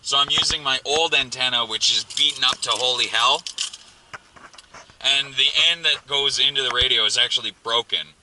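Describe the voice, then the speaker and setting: A man with a medium-pitched voice talks through a do-it-yourself job in a car. His delivery is casual and offhand, with grumbling and swearing as he works. Vehicle noise and clicks are clearly audible behind him. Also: moderate pace. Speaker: amateur how-to video maker. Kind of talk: casual hands-on tutorial, talking while working. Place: inside a car.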